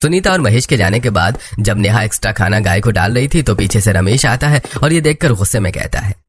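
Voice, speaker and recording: A man's voice: fairly low pitch, expressive, a little fast. A man narrating a scripted cartoon story as its storyteller.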